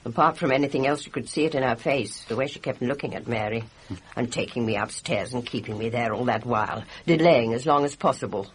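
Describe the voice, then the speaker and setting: An actress playing a gossipy, opinionated village nurse with a British accent, chatty and judgmental in a radio drama. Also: female voice, extremely low pitch, fairly quick, slightly rough, low-quality recording. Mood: indignant, accusing. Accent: British English.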